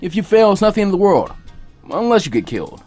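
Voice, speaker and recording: A man's voice: sits high, big pitch swings, fast, a little mellow, faint music behind, voice pushed up. A man voicing an easygoing older male ninja mentor with a lazy drawl. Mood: laid-back. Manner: lazy, laid-back drawl.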